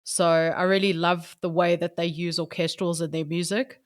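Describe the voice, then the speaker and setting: A woman's voice: on the low side, little pitch movement, normal speed. A woman answering interview questions about her music, hesitant with many fillers. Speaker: band keyboardist.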